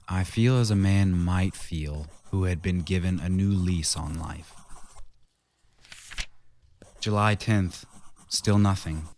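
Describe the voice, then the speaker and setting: A man plays a haunted, weary husband in a radio drama. His voice is low and grave, with deliberate phrasing, as if setting events down in a journal. There is some noise on the recording. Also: a little mellow. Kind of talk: radio drama acting and diary narration.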